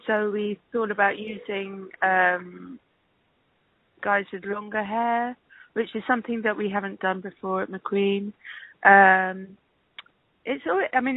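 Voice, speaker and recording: Female interview guest, gravelly fry, hesitant and conversational, heard down a narrow telephone line. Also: mid-range voice, no echo. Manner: hesitant, thinking aloud. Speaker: interview guest, casting director. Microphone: telephone call. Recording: tinny, band-limited phone line.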